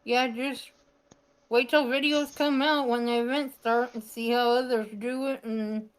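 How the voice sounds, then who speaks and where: A woman giving a casual shout-out as a guest on a gaming chat. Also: fairly high pitch, steady tone, unhurried, clear, resonant tone, rough recording.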